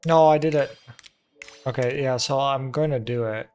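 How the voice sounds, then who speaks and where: Very low-voiced woman muttering through gameplay. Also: normal speed, dark tone, slight background noise. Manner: muttering to self while thinking. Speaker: gaming streamer. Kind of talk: casual gameplay commentary.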